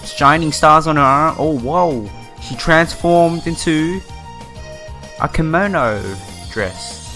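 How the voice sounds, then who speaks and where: A man's voice: a little high, expressive, slow pace, pushed and bright, soft background music. A man reading a visual novel aloud in a casual playthrough, stumbling over words now and then and reacting with his own commentary. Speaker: gaming YouTuber.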